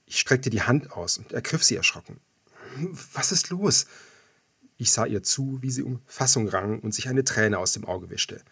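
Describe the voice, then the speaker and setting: A man narrates an audiobook novel in the first person. His voice is fairly low and husky, recorded dry and close. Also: normal speed, hardly any pauses, bright, forceful tone, dry recording.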